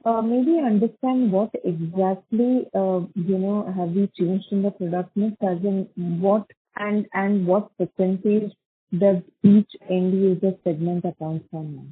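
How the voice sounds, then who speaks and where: A woman's voice: medium pitch, steady tone, unhurried, a little hiss or hum. A woman with an Indian accent asking questions as an analyst on an earnings call. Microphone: conference call phone line. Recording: narrowband phone line.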